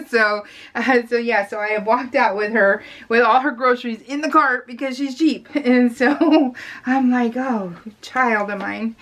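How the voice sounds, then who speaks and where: Middle-aged American woman, slightly high voice, casual rambling vlog storytelling, giggly, chatty. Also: fairly bright tone. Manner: chatty and giggly, acting out remembered conversations. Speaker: craft video blogger. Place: voice-over talk recorded while crafting.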